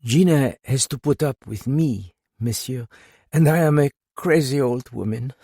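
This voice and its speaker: A man voicing a weary, high-strung older Frenchwoman who blames herself, speaking quietly and haltingly before passionate outbursts. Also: creaky, no echo, studio quality.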